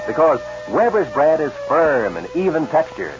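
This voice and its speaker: A man's voice: fairly high pitch, big pitch swings, normal speed. A man reading a scripted 1940s radio advertisement with earnest, persuasive salesmanship, on a dull vintage recording. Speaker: commercial announcer. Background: music.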